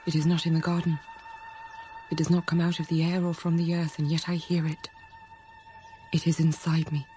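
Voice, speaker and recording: Actress for introspective first-person radio drama narrator, young, troubled. Warm dark tone, even delivery, soft music behind. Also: female voice, low voice, moderate pace, natural voice. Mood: awed.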